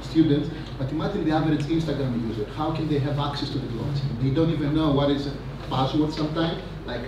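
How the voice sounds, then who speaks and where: A man giving a rambling, energetic conference talk on stage, full of fillers and asides. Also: fairly high pitch, fast. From Greece. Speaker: conference speaker. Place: large conference hall. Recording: echoey hall, mic from a distance, noisy.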